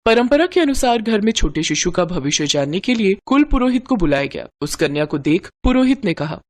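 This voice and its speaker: A woman narrating a folk tale in a dramatic, expressive storytelling style. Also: mid-range voice, a little fast.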